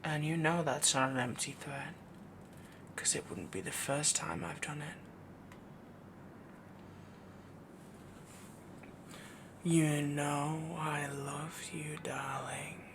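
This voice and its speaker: Man voicing a breathy, half-whispering possessive werewolf boyfriend. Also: on the high side, level tone, slow pace, background noise. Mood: gently commanding. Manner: rambling, dominant, cooing endearments. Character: possessive, doting young male werewolf boyfriend. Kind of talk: soft-spoken intimate roleplay audio, ASMR.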